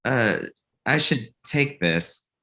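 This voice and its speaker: Man as an animated, exasperated workshop manager. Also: medium pitch, very slow, heavily acted. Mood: harried. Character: exasperated, earnest male workshop manager, peacemaker.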